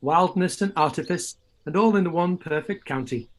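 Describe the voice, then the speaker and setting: An actor playing a genial, courteous middle-aged uncle in a radio play reading. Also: male voice, high-pitched, normal speed, close to an everyday voice.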